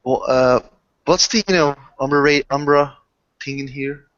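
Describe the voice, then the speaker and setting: A man's voice: medium pitch, normal speed, a bit bright. A man in a casual tabletop roleplaying session, speaking in fragments and often rephrasing mid-sentence. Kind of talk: improvised casual roleplay chat. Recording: dull lo-fi voice recording with clicks.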